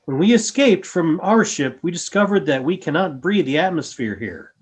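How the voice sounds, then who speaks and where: A calm alien creature from a radio play, acted by a man. The voice sits a little high and speaks formally, with grave, measured phrasing. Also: breathy edge. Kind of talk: fan radio play acting.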